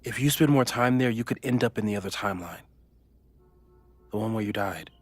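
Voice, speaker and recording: An actor playing an earnest, analytical and worried friend, gentle and thoughtful, in naturalistic video game dialogue. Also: male voice, on the low side, fairly quick, no echo, natural voice. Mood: worried, protective.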